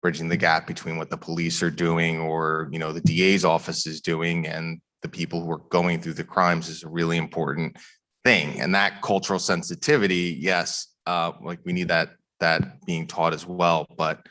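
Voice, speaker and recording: Man, very low voice, bright tone, earnest, restarts a lot. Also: little pitch movement. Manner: earnest, measured, with fillers and restarts. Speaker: district attorney's office official. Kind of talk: answering at a community forum.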